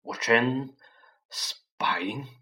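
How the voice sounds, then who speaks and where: A man's voice: medium pitch, very slow, bright, forceful tone. A man voicing a hot-tempered, bullying middle-aged uncle in a storybook reading, barking and sputtering. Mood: anxious.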